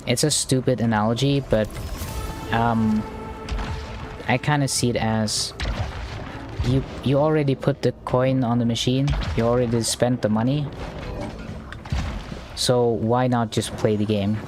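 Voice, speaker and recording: A man's voice: mid-range voice, steady tone, unhurried, pauses often. Stammering man streaming a game. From Norway. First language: Norwegian. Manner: stammering, repeats words, thinking aloud. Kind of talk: live gameplay commentary. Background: game music.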